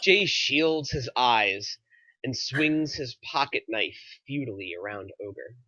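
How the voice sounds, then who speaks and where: A man's voice: mid-range voice, expressive, takes it slowly, bright, forceful tone, no echo, dull audio. A man reading screenplay stage directions aloud as the narrator of a table read.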